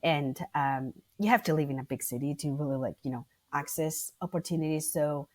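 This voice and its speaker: Low-voiced woman from Spain. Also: fairly quick. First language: Spanish. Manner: chatty, many fillers, self-correcting. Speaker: guest, arts managing director. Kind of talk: casual interview answers.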